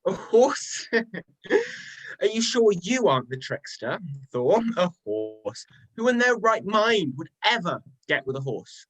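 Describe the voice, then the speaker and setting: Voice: medium pitch, huge pitch swings, takes it slowly, fairly bright tone, full character voice, rough recording. An amateur performer playing a sly male trickster god, mocking, smug and playful, in a dramatic reading of a myth. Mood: mock indignant.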